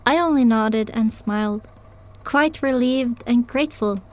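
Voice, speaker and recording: A woman playing a young pony mare who tells her own story in earnest, measured audiobook narration. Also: on the high side, close to an everyday voice. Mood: reflective, earnest. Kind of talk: scripted first-person audiobook narration.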